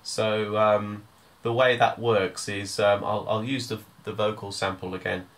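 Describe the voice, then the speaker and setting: Male tutor, lower register, informal step-by-step software walkthrough with a hesitant, thinking-as-he-goes delivery. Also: flat delivery, a little slow. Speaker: music tutor.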